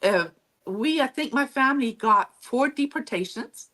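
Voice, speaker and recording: A woman whose first language is Low German, giving reflective, conversational answers as an interview guest. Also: expressive, unhurried, fairly bright tone. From Mexico, then Texas from childhood.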